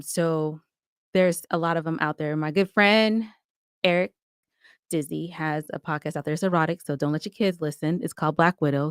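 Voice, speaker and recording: A woman's voice: sits low, clear, resonant tone, dry recording, clean studio sound. A woman giving talkative, explanatory answers as a guest in a conversational podcast interview. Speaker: podcast guest, audio drama creator.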